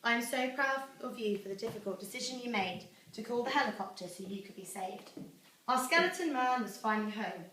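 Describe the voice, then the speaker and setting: A woman's voice: on the high side, animated, fairly quick, pushed and bright. A young British woman reading a rhyming celebratory speech aloud to a gathering. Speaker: daughter reading her own celebratory poem. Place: echoey room at a family celebration. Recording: echoey room, distant mic, faint noise.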